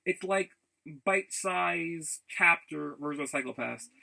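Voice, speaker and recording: A man rambling casually as a vlogger, enthusiastic about his hobbies, often halting, repeating words and backtracking. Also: on the high side, creaky, sharp tone, low-quality recording. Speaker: vlogger showing game and figure pickups. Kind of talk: casual rambling vlog chat.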